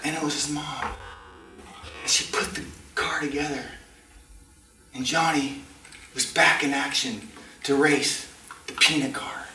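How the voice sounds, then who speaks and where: Bright, forceful male storyteller in a roomy space. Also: on the high side, fairly even intonation. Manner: dramatic delivery with deliberate pauses. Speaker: contestant in a speech club storytelling contest. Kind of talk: theatrical tall-tale storytelling to a live audience. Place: indoor community meeting room. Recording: distant mic, roomy echo, faint noise.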